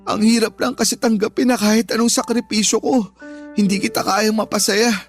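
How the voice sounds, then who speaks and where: A man's voice: sits high, steady tone, fairly quick, soft background music, natural voice. A man acting a wounded, devoted young boyfriend in a Filipino radio drama, with a pained, earnest delivery. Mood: pleading. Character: wounded, devoted young working-class boyfriend, pleading and proud.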